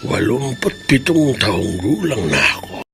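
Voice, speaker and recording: An actor playing a wistful, reflective man in his eighties from Bicol in a Filipino radio drama, speaking in a halting, musing way. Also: mid-range voice, huge pitch swings, vocal fry, a bit bright, soft background music, exaggerated voice. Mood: reflective, earnest. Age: 80s. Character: wistful, reflective 87-year-old grandfather from Bicol.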